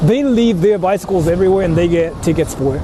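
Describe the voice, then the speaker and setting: High voiced guy streaming outside, slangy and sweary, pitch jumps around, traffic noise behind. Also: fast talker. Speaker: livestreamer. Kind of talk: casual livestream chat while out in the city. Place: outdoors on busy city streets. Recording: noisy outdoor street with traffic. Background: cars and urban street noise.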